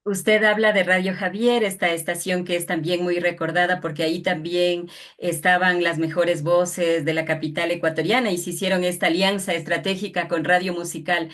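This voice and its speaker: A woman's voice: lowish voice, little pitch movement, moderate pace, a bit bright. A woman with an Ecuadorian accent conducting a conversational interview, addressing her guest formally and respectfully. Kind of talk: conversational radio-style interview.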